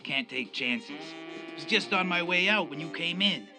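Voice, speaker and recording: A man's voice: a little high, expressive, moderate pace, music in the background, lightly acted. A man playing a cynical, self-interested gangster henchman in a crime radio drama, smug, unruffled and sardonic. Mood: coolly unruffled.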